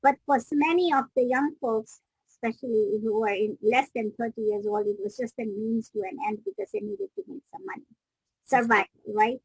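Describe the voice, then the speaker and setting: A middle-aged woman from Kenya talks through her story as an interview guest, pausing and restarting her sentences as she reflects. Her voice sits fairly high and sounds husky. The recording is dry and close, with no room sound. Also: animated, darkish tone, no echo, low-quality recording. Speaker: guest, business coach.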